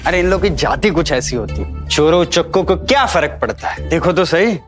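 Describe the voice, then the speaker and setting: A man's voice: fairly high pitch, lively intonation, moderate pace, faint music behind, close to an everyday voice. An actor playing a bullying shop salesman who sneers and threatens in an audio drama. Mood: sneering, contemptuous. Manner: mocking, threatening.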